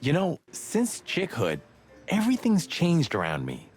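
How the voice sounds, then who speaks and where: A man's voice: on the high side, wildly swooping pitch, unhurried, faint music behind, heavily acted. A man playing a world-weary rooster detective in a noir adventure game, speaking in a wry, reflective way. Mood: musing.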